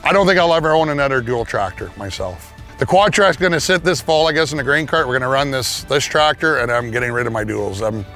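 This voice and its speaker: A man's voice: medium pitch, a bit bright. Middle-aged man, down-to-earth farmer, casual outdoor testimonial on a lapel mic, faint music behind. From Canada. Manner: plain-spoken, casual, with restarts. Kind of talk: testimonial interview. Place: outdoors on a farm yard.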